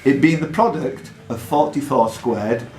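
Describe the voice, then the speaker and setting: A man hosting a live quiz in a pub, reading questions out deliberately and adding jokey asides. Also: medium pitch, darkish tone. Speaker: quizmaster. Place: indoor pub. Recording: echoey pub room with background noise.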